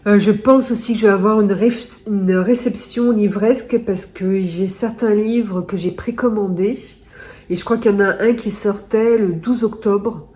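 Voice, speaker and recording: A woman's voice: mid-range voice, fairly even intonation, measured pace, mellow. A woman with a stuffy nose from a cold, chatting casually with many hesitations in a reading vlog. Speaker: book vlogger.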